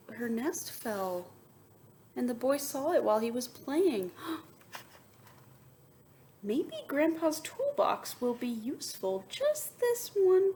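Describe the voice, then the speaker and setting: A woman teacher reading a picture book aloud to young students, expressive, moving between emphatic reading and soft, wondering asides. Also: fairly high pitch, slight background noise. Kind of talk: children's read-aloud with asides and questions to students.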